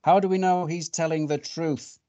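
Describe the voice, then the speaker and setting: A man's voice: fairly high pitch, steady tone, normal speed, mellow, pitched above the performer's own voice, lightly acted. A man voicing a distrustful, bossy fox in a children's story, with emphatic delivery. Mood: suspicious. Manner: emphatic, suspicious.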